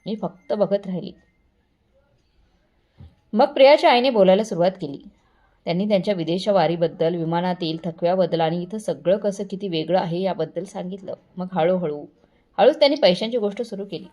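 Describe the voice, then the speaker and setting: A woman's voice: lowish voice, brisk, warm tone, lo-fi sound. A woman storyteller reading a scripted first-person family story.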